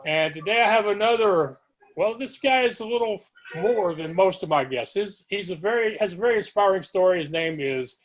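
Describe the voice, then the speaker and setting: A man hosting an interview show, introducing his guest in a halting, friendly way and stumbling over names. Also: high voice, lively intonation, slight background noise, poor audio. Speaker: podcast host.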